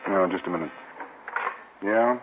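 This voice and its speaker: Low-voiced, laconic police captain. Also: male voice, measured pace. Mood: businesslike, wry. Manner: terse, laconic, matter-of-fact. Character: gruff, businesslike male police captain, chief of detectives. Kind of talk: 1950s radio drama acting, casual dialogue. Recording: noisy, narrow-band old radio recording.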